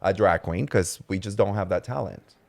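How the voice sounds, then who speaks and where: Low-voiced sassy male host. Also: normal speed, creaky, a little mellow. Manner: gushing, sassy, emphatic. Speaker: podcast host. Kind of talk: casual interview chat.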